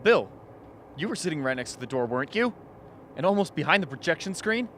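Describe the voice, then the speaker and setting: A man playing a shrewd camp member who reasons wryly and deliberately in a radio drama. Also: on the high side, sing-song, fairly bright tone, slight background noise. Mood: dry, reasoning. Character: shrewd, dry-witted man of an isolated camp.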